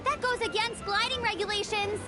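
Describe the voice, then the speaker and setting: Very high, cocky game character. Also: female voice, steady tone, fairly quick. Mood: defiant. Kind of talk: video game voice acting. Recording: loud game music behind. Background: loud video game music.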